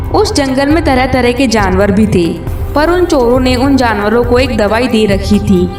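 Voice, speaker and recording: A woman with a fairly high voice narrates a scripted children's tale. She sounds roomy and a little away from the mic, with music clearly behind her. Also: far from the mic. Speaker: storyteller.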